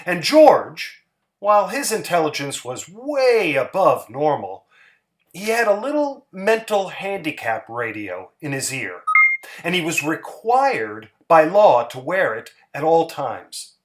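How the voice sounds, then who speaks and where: A man's voice: a little high, takes it slowly, a little hiss or hum. A man giving a dramatic, theatrical reading of a literary short story. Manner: expressive, theatrical. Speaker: story reader.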